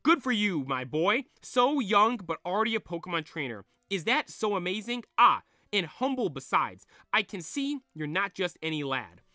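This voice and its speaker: A man's voice: high-pitched, big pitch swings, moderate pace, few pauses, bright, forceful tone, no room sound, studio quality, pitched above the performer's own voice, full character voice. A man voicing a fussy, pompous old man who leads a fan club, gushing over his visitor.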